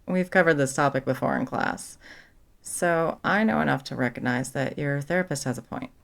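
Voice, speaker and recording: A woman's voice: very deep voice, moderate pace, creaky. A young woman playing a caring, protective best friend in a conversational comfort roleplay, supportive and blunt. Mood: protective, indignant. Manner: casual, supportive, blunt, sweary.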